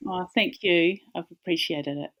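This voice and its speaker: Low-voiced New Zealand woman. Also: normal speed, a bit bright. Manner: friendly, plain-spoken, with small restarts. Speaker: guest, company founder. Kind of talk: casual interview talk.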